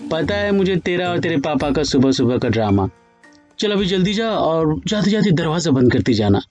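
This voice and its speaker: A man voicing a scolding middle-aged mother who grumbles and nags her daughter, over soft music. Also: on the high side, sing-song, moderate pace, lo-fi sound. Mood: brisk. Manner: nagging, exasperated grumbling.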